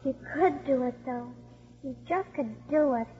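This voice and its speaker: An actress playing a coach's plucky young daughter in a 1950s radio drama, childlike and coaxing. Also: female voice, high voice, measured pace, slight background noise. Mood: hopeful, coaxing. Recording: muffled 1950s radio broadcast.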